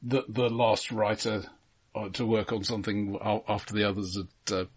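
A man co-hosting a casual film discussion, speaking haltingly and often stammering over repeated words. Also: lowish voice, level tone, breathy edge. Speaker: podcast co-host.

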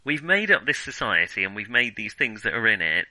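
Bright, forceful man in opinionated film chat. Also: on the low side, big pitch swings, brisk, dry recording, rough recording. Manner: hesitant, self-interrupting, opinionated. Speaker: film discussion podcast host. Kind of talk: casual film criticism chat.

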